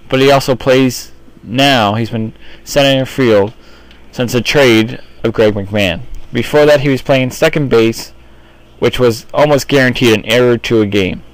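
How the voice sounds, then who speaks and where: A man's voice: mid-range voice, no echo, low-quality recording. A man delivering baseball team commentary in the manner of an announcer addressing his audience. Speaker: sports newsreel commentator.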